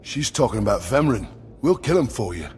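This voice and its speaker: Casting: male actor as dim, pushy young henchman, game role; lively swings, blunt, eager. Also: mid-range voice, brisk, soft background music, lightly acted. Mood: blunt scheming. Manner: blunt, excitable. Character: brash, over-eager, dim young male thug acolyte. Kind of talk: video game voice acting.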